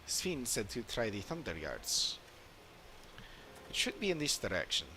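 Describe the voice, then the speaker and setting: A man's voice: mid-range voice, wildly swooping pitch, unhurried, sharp tone, slight background noise, exaggerated voice. Earnest, formal fantasy game adventurer, voiced by a man. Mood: earnest, puzzled. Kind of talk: dramatic read-aloud of game dialogue.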